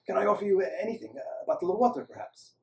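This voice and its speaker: A man voicing a gentle, courteous older male doctor with an Eastern European accent in a story, speaking hesitantly. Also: high voice, fast talker, gravelly, pitched above the performer's own voice. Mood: welcoming. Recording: roomy, echoing, distant microphone.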